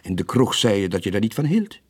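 Middle-aged seaman, low husky voice, weary, gentle, evasive, hesitant, radio drama. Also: big pitch swings, fast talker. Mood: concerned. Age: late 40s.

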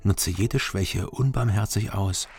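An actor playing a haunted, guilt-ridden former border guard in a radio drama, speaking in halting, introspective, terse phrases with a creaky voice. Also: male voice, fairly low pitch, over music. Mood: brooding, haunted. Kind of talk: radio drama inner monologue and dialogue.

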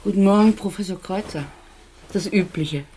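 An actress playing a polite, hesitant and concerned receptionist to a professor, in a radio drama. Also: female voice, medium pitch, normal speed, mellow, slight background noise, lightly acted. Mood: discreet, concerned. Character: discreet, deferential, concerned female receptionist of a professor.

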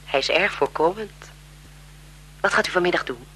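An actress playing a deferential, inquisitive governess, chatty and polite, in 1970s radio drama style. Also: female voice, lowish voice, normal speed, sharp tone, a little hiss or hum, low-quality recording. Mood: chatty, curious.

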